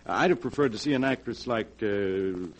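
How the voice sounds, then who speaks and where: A man's voice: on the low side, moderate pace, natural voice, rough recording. A man playing a fussy stammering attorney. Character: fussy, hesitant middle-aged family attorney. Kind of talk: 1940s radio acting.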